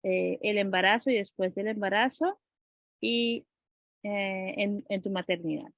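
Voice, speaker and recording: A woman interviewer asking questions conversationally, with hesitant, halting phrasing. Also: medium pitch, vocal fry, no room sound, muffled recording.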